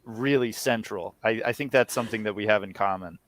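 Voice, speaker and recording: Halting man in casual podcast chat. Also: on the low side, a bit bright. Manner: halting, self-correcting. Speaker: podcast guest, comedy writer and performer.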